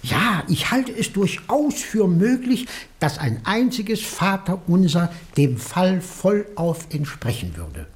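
An actor playing an elderly, hesitant, absent-minded English country priest in a German radio drama. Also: male voice, sits high, big pitch swings, slow, hardly any pauses, full character voice. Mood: flustered, eager. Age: older. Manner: hesitant, rambling, repeats himself, absent-minded.

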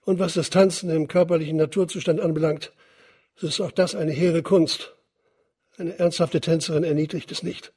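An actor in a radio drama playing a poor, bitter father who speaks deferentially and in measured, formal phrases to his superior. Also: fairly high pitch, steady tone, mellow, husky. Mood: proud, explaining.

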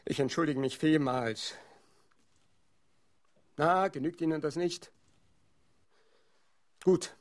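An aggrieved author in a comic radio play, his voice pitched high. He speaks in florid, formal phrases and is easily flustered. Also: takes it slowly, long pauses. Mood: haughty. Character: flustered, pompous male author, aggrieved yet giving in.

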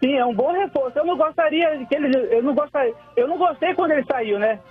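A Brazilian man from Salvador with a slightly Carioca accent, calling in to chat about football, relaxed and often asking "entendeu?". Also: very high-pitched, fast. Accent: Carioca-tinged Brazilian Portuguese. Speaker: caller. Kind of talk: casual phone-in chat. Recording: tinny phone line with faint music.

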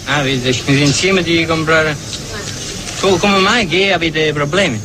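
A man's voice: a little high, measured pace, noisy background, natural voice. A man playing a cynical questioner of couples, talking in a rambling, colloquial way. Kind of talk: colloquial dialogue.

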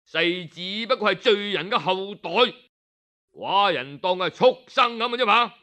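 Man acting a blustering high-pitched tyrant king. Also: animated, normal speed, sharp tone. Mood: furious. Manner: blustering, imperious. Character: arrogant, cruel, hot-tempered tyrant king.

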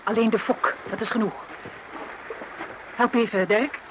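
An actor playing a matter-of-fact, obliging sailboat skipper who gives practical instructions in a radio play. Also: male voice, high-pitched, fairly even intonation, unhurried. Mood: calm, practical. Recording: noisy old radio play recording.

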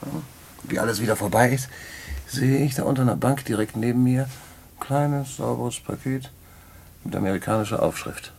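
A man's voice: mid-range voice, normal speed, vocal fry, a little mellow, a little hiss or hum, lightly acted. An actor playing a worldly former racketeer, telling his story in a knowing, patronising way in a radio play. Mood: wry storytelling. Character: worldly male ex-racketeer fondly recounting his schemes. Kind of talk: radio play narration in dialogue.

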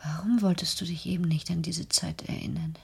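An actress playing a wounded, probing young daughter in a German radio play, speaking insistently in a hushed, husky, breathy voice. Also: female voice, on the low side, fairly even intonation, a little fast, exaggerated voice.

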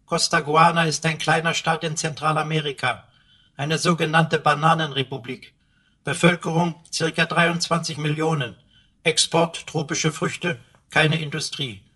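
A man's voice: on the high side, fairly even intonation, moderate pace, sharp tone, slightly rough. A man playing a cheeky, pedantic talking computer sidekick in a radio play, with flowery, mock-pompous speech. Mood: cheeky, mock-grand.

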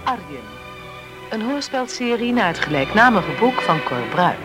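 A man's voice: high-pitched, very animated, moderate pace. A man reading a scripted introduction as announcer of a Dutch radio drama serial. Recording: old radio recording under loud music. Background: loud music.

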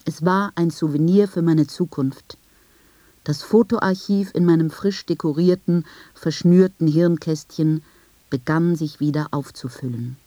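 A woman reads scripted, first-person literary narration in a measured, reflective manner with deliberate phrasing. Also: sits low, mellow, no room sound. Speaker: narrator of a literary radio piece.

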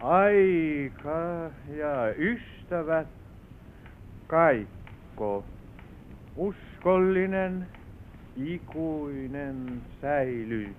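An actor playing a genial, curious gentleman manor owner, polite and well-spoken, in a theatrical vintage radio drama style. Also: on the high side, animated, pauses often, a little hiss or hum, close to an everyday voice. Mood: pondering. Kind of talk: vintage Finnish radio play acting. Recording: thin, phone-like old radio recording.

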